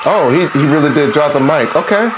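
A man in his thirties hosting a reaction video in a small home room, making relaxed, joking, slangy remarks. He sounds congested from allergies. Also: fairly high pitch, brisk, crowd noise, noisy background. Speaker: reaction channel host. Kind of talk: casual reaction commentary. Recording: faint music in the background. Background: faint music from the video he watches.

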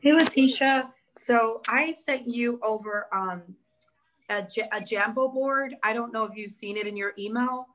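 A woman in her thirties with a New York accent runs a community meeting over a video call. Her delivery is brisk and organized, and she keeps people moving along with steady encouragement. The sound comes through a laptop webcam mic in an indoor room. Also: a little high, low-quality recording. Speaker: town hall host. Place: indoor room with big windows.

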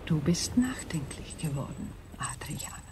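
A woman playing an ancient, logical, detached alien crystal being in a radio play, speaking in a measured, formal way that often nears a whisper. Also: deep voice, big pitch swings, darkish tone. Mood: sombre, restrained. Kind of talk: German radio play acting.

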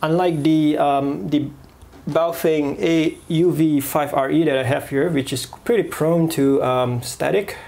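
A man reviewing a walkie-talkie at a desk, pausing often with fillers and restarts. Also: on the high side, fairly even intonation, vocal fry, a little mellow. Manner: halting, many fillers and restarts. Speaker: gadget reviewer. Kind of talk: product review voice-over.

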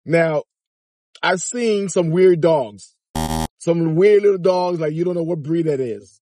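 A man's voice: a little high, takes it slowly, a little hiss or hum. A man in his fifties from Miami, talking animatedly to viewers from inside a car in a casual commentary vlog. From Miami, Florida. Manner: animated, chatty, addresses viewers directly. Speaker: vlogger. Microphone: phone or dash camera at arm's length.

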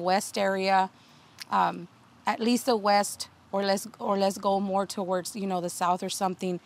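A woman in her thirties giving a casual tour of her home garden outdoors, talking in a chatty way with many fillers and restarts. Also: mid-range voice. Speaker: garden video host.

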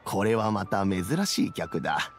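An actor playing a kindly, wise elderly statesman in a video game, speaking in a measured, old-fashioned way. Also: low voice, very animated, soft background music, full character voice. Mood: welcoming. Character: dignified kindly elderly statesman, grey-haired, calm and wise. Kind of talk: Japanese video game voice acting.

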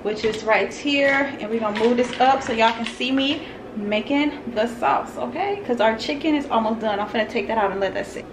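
A young woman talks her viewers through a recipe in her home kitchen, her voice sitting a bit high. She keeps it relaxed and chatty, tossing in y'all every few lines. A faint household hum runs underneath. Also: fairly quick. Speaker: cooking vlogger. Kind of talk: casual cooking chat. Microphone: camera on tripod and phone.

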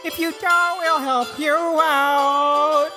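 A woman's voice: high-pitched, fairly even intonation, very slow, over music. A woman voicing a timid, earnest young boy soldier in an anime parody. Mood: pleading singsong.

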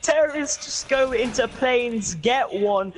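A man's voice: extremely high pitch, slow, slight background noise, low-quality recording. A teenage boy, chatting and teasing friends over a headset while playing a shooter game. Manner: teasing, giggly, playful. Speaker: gamer streaming with friends. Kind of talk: casual game chat.

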